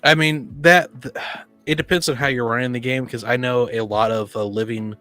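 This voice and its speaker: A man chatting casually in a group, halting and often repeating his words. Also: mid-range voice, steady tone, bright, forceful tone, soft background music. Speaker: podcast participant.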